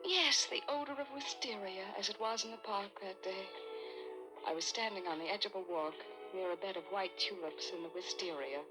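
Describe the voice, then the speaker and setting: An actress playing a jealous, high-strung wife in a vintage radio suspense melodrama, formal and imperious. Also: female voice, moderate pace, music in the background, lightly acted, low-quality recording. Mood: dazed, haunted. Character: haughty, overwrought, jealous wife in her thirties. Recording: vintage radio drama recording.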